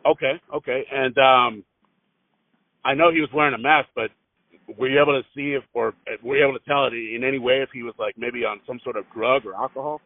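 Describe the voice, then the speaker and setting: A man asking casual questions as an interviewer on a phone call, in a halting, hesitant and rambling way. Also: mid-range voice, fairly even intonation. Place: telephone call. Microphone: phone line. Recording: narrow muffled phone-line audio.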